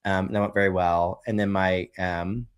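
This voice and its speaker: Low-voiced man telling a rambling story. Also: little pitch movement, normal speed, clean tone. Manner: rambling, heavy on "you know" and "right?". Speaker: guest, brewery co-founder. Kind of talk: casual conversational storytelling.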